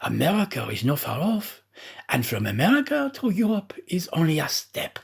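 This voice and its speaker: A man's voice: a little high, wildly swooping pitch, moderate pace, gravelly, husky, voice pushed up, full character voice. A man voicing a jovial, teasing manservant in an audiobook. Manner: bantering, jovial. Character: bantering, hearty French manservant, sly and teasing.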